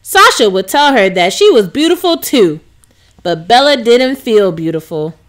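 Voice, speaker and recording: High, animated woman reading a storybook. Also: normal speed. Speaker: storybook reader. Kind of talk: scripted children's story narration.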